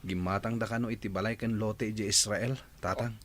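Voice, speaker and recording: A man's voice: on the low side, fairly even intonation, fairly quick, natural voice. A man playing a concerned grown son in an Ilocano radio drama, talking plainly with a Filipino accent.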